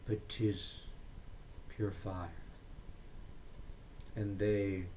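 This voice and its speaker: A man reading a dramatic monologue in verse, in a deliberate, declamatory manner with frequent pauses. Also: fairly low pitch, flat delivery, warm tone, a little hiss or hum. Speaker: poetry reader. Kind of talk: dramatic monologue poetry recitation.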